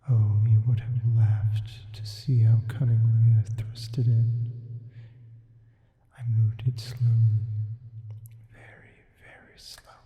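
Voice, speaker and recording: A man voicing an indifferent, menacing sleep paralysis demon in an ASMR roleplay, reading aloud in a hushed, often whispered voice with added reverb. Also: medium pitch. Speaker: ASMR performer. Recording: muffled, long artificial reverb.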